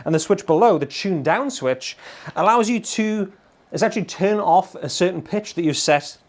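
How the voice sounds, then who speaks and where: Higher-pitched British man demoing guitar gear. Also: animated, moderate pace. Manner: enthusiastic, chatty. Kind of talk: informal product demonstration. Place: small home studio full of guitars and amps. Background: electric guitar playing.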